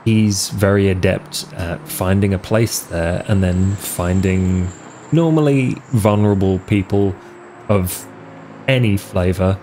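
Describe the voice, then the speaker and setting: Slow, low-voiced man playing a tabletop game. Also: dark tone, a little hiss or hum, poor audio. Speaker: player in a tabletop roleplay podcast. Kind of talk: casual, improvised character description.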